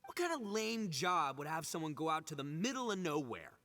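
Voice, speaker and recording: Loudmouth cocky young boss, bright punchy voice, swings all over, anime game. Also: male voice, on the high side, wildly swooping pitch, a little fast, heavily acted. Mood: cocky, teasing. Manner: swaggering, boastful, laughs easily. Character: brash, boastful young male gang boss, jovial and cocky. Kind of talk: anime video game voice acting.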